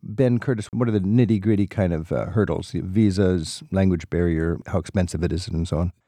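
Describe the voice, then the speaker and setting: A man's voice: sits low, a little fast, mellow, dry recording. A man hosting a radio travel call-in show, chatty and leading his guests with questions.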